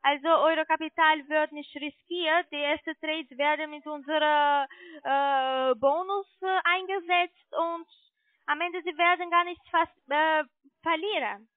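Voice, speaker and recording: A woman speaking non-native German as a call centre agent, making a halting phone sales pitch, often searching for words. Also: steady tone, dry recording. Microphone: telephone. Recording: muffled narrowband phone line.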